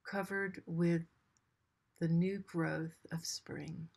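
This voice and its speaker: Female meditation guide, lowish register, very unhurried delivery, gentle and soothing, generous pauses. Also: fairly even intonation, creaky. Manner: gentle, soothing, with long pauses between short phrases.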